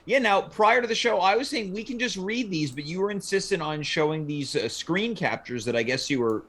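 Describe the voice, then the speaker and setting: Animated, higher-pitched man hosting. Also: brisk. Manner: dry, teasing, mock-formal. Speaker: talk show host. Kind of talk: casual comic banter.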